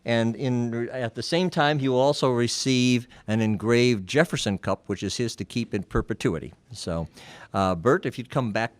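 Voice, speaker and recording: A man with a mid-pitched voice presents an award to fellow club members. He speaks informally and haltingly, and the sound is fairly dry with little room echo. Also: smooth. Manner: halting delivery.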